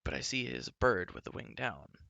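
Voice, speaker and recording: A proud young prince sneers in a low, hoarse voice, as a man reading a storybook plays him. Every line drips cold contempt. The tone is gravelly yet bright, close and dry. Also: big pitch swings, a little fast, dry recording, lo-fi sound, exaggerated voice. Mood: contemptuous.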